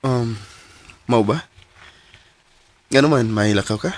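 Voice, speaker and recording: An actor playing a gentle, heartsick young boyfriend in a radio drama, speaking softly and hesitantly with a Cebuano Filipino accent. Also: male voice, mid-range voice, slow pace, lightly acted. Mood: resigned. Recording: clearly noisy radio drama track.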